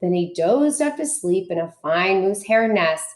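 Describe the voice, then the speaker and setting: A woman's voice: mid-range voice, expressive, a little slow. A woman reading a children's storybook aloud expressively, like a schoolteacher.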